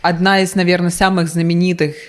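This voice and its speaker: A woman's voice: lowish voice, level tone, fairly quick. Easygoing woman telling a story. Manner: easygoing storyteller, speaks to viewers directly. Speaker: video co-host. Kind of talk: casual chat, telling anecdotes.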